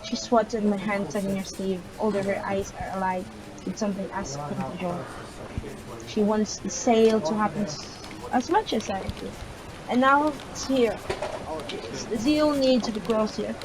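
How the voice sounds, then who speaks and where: A woman's voice: medium pitch, moderate pace, crowd noise, rough recording. A woman reading a visual novel's first-person story aloud, sometimes stumbling over words.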